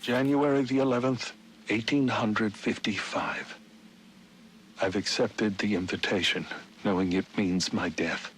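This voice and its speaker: An actor playing a middle-aged Victorian gentleman, a scheming city founder, narrating his journal in formal, dramatic, archaic diction for a video game. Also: fairly low pitch, fairly even intonation, unhurried, slight background noise, poor audio. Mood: resigned, foreboding.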